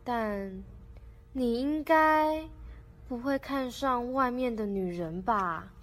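A woman's voice: fairly high pitch, slow pace. A woman with a Taiwanese accent playing a lovesick, melancholy teenage princess in a student radio drama, girlish and emotional. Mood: sad, clingy. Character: lovesick, melancholy eighteen-year-old princess.

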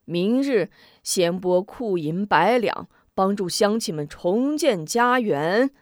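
A woman voicing a two-faced male county magistrate who wails loudly and speaks in a pompous official manner. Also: medium pitch, animated, slow, dry recording, studio quality. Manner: loud, weepy, pompous official wailing.

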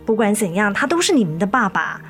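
一位女演员在广播剧中饰演操心又疲惫、爱叮咛的母亲。. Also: female voice, medium pitch, sing-song, fast talker, a bit bright, faint music behind. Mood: sorrowful. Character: worried, weary, nagging mother of two daughters. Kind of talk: radio drama acting.

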